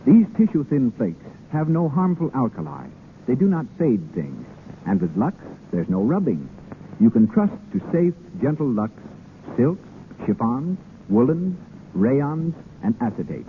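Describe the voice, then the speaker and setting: A man's voice: medium pitch, very animated, a little slow. A man announcing from a script in polished 1930s radio style. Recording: scratchy tinny 1930s radio broadcast.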